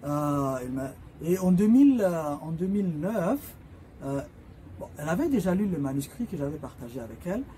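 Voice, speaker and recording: A man whose first language is Somali speaks as an author introducing his books. His voice sits a little high, with a soft, mellow tone. He pauses often, filling gaps with hesitation sounds and restarting his sentences as he explains. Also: big pitch swings, slight background noise. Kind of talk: informal spoken book presentation.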